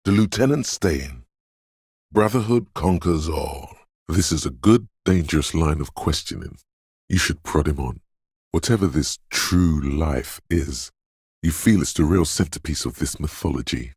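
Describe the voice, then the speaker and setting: A super deep, really husky inner voice for a game, eerie and mystical, slow-burn dread, narrating straight at you. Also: male voice, animated, a little mellow, no room sound, clean studio sound, heavily acted. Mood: solemn intrigue. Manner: portentous, deliberate, theatrical. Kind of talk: dramatic second-person game narration.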